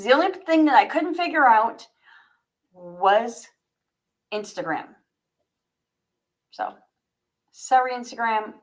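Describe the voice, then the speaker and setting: A woman livestreaming as an author, chatty and informal as she speaks straight to her viewers. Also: a little high. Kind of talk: casual livestream chat, then reading own fiction aloud.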